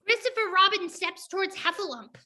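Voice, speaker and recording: A woman's voice: extremely high pitch, fairly quick, bright, forceful tone. A woman reading stage directions as scripted narration for a play.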